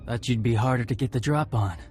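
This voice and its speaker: An actor playing a serious, honor-bound tracker in a video game cutscene, talking in clipped, matter-of-fact phrases. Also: male voice, mid-range voice, quick pace, darkish tone, faint music behind, lightly acted. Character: terse, serious male Mandalorian tracker seeking honor.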